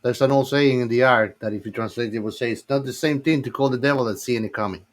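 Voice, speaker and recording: A man with a medium-pitched voice co-hosts a film podcast. He talks in a loose, informal chat style, full of slang and casual swearing. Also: fast. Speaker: podcast co-host.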